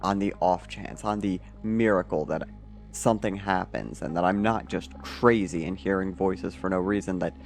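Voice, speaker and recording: A man playing a troubled, pessimistic man in an audio fiction monologue, confessing in a halting, hesitant way. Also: deep voice, creaky, faint music behind. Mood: anxious, hopeful.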